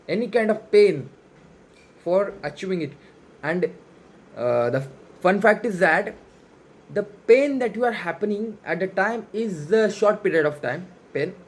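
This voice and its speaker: An Indian man with a Marathi mother tongue delivers an off-the-cuff motivational talk for his vlog. His voice sits high for a man. His English is non-native and halting, with phrases often repeated. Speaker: self-improvement challenge vlogger. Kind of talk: unscripted motivational monologue.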